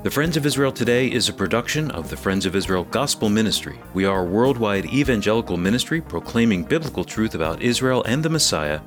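A man's voice: lowish voice, expressive, fast, few pauses, soft background music. A man reading a radio program's closing credits in a polished announcer style. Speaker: executive producer and announcer.

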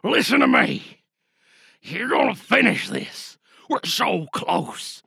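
A gruff, desperate space commander dying of infection, in a sci-fi audio drama. The voice is gravelly and husky, swinging widely as it gasps out orders to a lieutenant. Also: male voice, sits high, sharp tone, no echo, studio quality, pitched above the performer's own voice, full character voice. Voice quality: gravelly, rasping. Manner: strained, gasping, barking orders.

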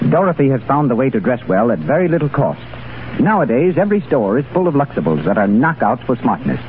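A man's voice: mid-range voice, very animated, quick pace. A man reading a scripted sponsor announcement as a 1930s radio announcer, polished and salesy. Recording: tinny, hissy 1930s radio recording.

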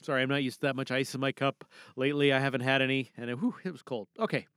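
A man from Canada hosting a podcast in a casual, chatty, animated and excitable way. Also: mid-range voice, racing, clear, resonant tone, no room sound, clean studio sound. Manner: chatty, animated, self-interrupting. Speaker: podcast host and amateur voice actor.

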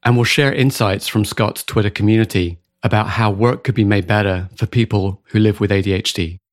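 Calm low-voiced British man hosting. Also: a little fast, no room sound, studio quality. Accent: British English. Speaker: podcast host. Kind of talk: scripted intro and interview.

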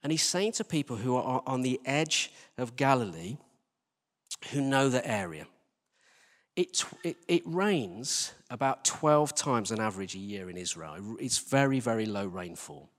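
A man's voice: mid-range voice, expressive, a little slow. A man preaching a conversational sermon, emphatic, asking rhetorical questions and repeating phrases for stress. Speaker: church team leader preaching. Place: large reverberant indoor church space. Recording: long-reverb church hall sound.

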